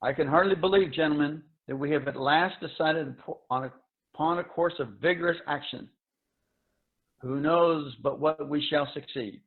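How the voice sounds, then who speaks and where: A man's voice: on the high side, moderate pace, close to an everyday voice. A man playing an earnest space officer in a dramatic science fiction reading, speaking in a formal, declamatory way. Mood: resolute. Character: earnest male space officer pledging to a faint-hope mission. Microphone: video call. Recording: thin phone-like video call audio.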